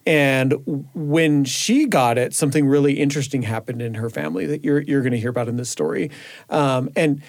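A man's voice: medium pitch, brisk, gravelly, a little mellow. A man contributing to a radio show, talking in an earnest, reflective, conversational way in a studio.

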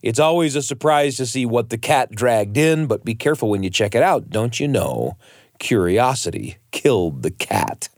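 A man's voice: medium pitch, lively intonation, moderate pace, dry recording. A man hosting a radio show with scripted, playful, folksy narration addressed straight to listeners. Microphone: close studio microphone. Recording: dry studio with music underneath.

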